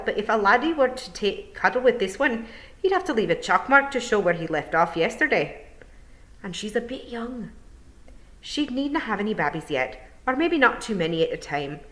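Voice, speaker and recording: A woman's voice: medium pitch, a bit bright, voice pushed up. A woman voicing gossiping, rowdy little male pixies with Scottish accents in a storybook reading. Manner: blunt, dialect-heavy.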